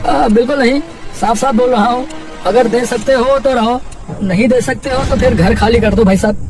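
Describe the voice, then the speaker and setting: Man voicing callous, high-voiced greedy landlord. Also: moderate pace. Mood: blunt, demanding. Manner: hesitant openers, then blunt. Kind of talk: Hindi cartoon voice acting. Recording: music under the voice.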